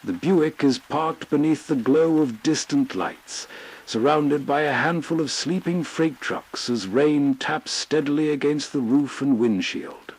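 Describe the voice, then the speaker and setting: A man's voice: on the high side, measured pace, slightly rough, rough recording. A man narrating as host in an atmospheric, scripted storytelling style.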